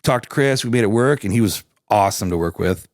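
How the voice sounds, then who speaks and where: A raspy-voiced man from Canada, chatting loosely as an interview guest with lots of restarts and fillers. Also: lowish voice, expressive, fast, darkish tone, no room sound, clean studio sound. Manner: rambling, many restarts and fillers. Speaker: singer-songwriter guest. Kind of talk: casual interview chat.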